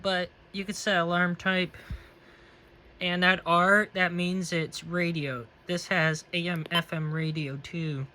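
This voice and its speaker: Man, fairly high pitch, sharp bright voice, casual reviewer, unscripted walkthrough. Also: little pitch movement, takes it slowly. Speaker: product reviewer. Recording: faint background noise.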